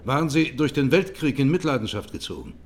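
An actor playing a methodical male judge who questions in a formal, measured way in a courtroom radio drama. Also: medium pitch, big pitch swings. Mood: formal, neutral.

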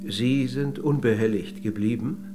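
An actor playing a polite but probing middle-aged interviewer in a radio play, with measured, insistent questioning. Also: male voice, medium pitch, over music, natural voice. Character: courteous but probing male interviewer of an old lady.

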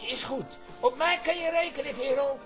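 High-voiced, excitable barber. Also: male voice, moderate pace, music in the background. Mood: chatty, concerned. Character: chatty, excitable barbershop man with dry wit. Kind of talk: radio comedy drama acting.

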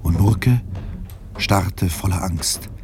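An actor as a wry storyteller, narrating a literary radio play deliberately with dramatic pauses. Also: male voice, low voice, full character voice. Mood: wry, measured. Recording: clear background ambience noise. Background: ambient noise.

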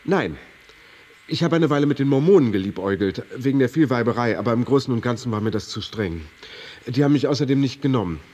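A man playing a glib, husky condemned womanizer. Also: mid-range voice, expressive, fast talker, darkish tone, highs cut off, lightly acted. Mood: sheepish, polite. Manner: formal, elaborate phrasing delivered with earnest salesmanship. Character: glib condemned prisoner, boastful self-styled womanizer, politely scheming. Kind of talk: German radio drama acting.

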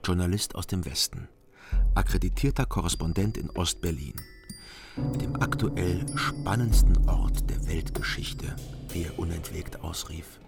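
An actor playing a weary, hard-drinking East German private detective who narrates and speaks in a dry, laconic way in a radio crime drama. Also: male voice, sits low, a little slow, creaky, over loud music. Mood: sober, observant.

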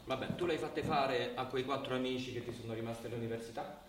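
An actor playing a domineering, pragmatic businessman brother in a radio drama, forceful and argumentative, pressing with questions. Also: medium pitch, fairly even intonation, fast, distant mic, lightly acted. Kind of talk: naturalistic radio drama acting. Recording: very echoey room, off-mic, noisy radio recording.

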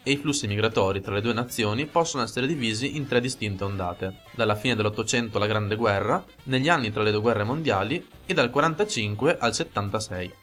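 Fast-reading man over music. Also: fairly low pitch, a bit bright. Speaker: radio host. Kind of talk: scripted historical narration for radio. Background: music under the voice.

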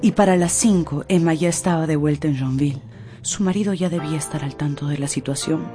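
A woman's voice: low voice, a little fast, over music. A woman narrating a radio drama from a script in a theatrical, emotive storytelling manner.

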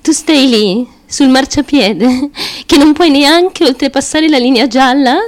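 Small driven young woman, high-pitched, halting, obsessive, childlike, radio drama confession. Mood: feverish, earnest. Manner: childlike, insistent, halting, repetitive, with nervous giggles. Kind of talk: theatrical stream-of-consciousness radio monologue.

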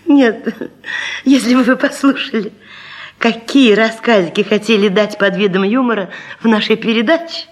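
A woman presenter with a husky voice, delivering a humorous, theatrical radio monologue with ironic, rhetorical emphasis. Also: medium pitch. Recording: 1970s radio recording, faint music.

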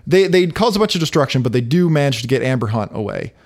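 A man's voice: mid-range voice, expressive, fast talker, darkish tone. A man casually recapping comic books on a podcast, laid-back with dry jokes. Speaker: podcast co-host.

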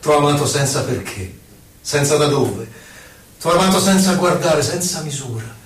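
Echoey, distant man reciting poetry theatrically. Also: a little high. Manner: theatrical, solemn, declamatory, with pauses between short phrases. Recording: echoey, distant, noisy live radio broadcast.

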